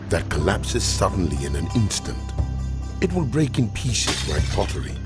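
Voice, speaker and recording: God as a stern, commanding judge in an audio scripture drama. The voice is deep and deliberate, with wide swings of intonation. Loud music plays behind it. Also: male voice. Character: God, stern male divine voice passing judgment.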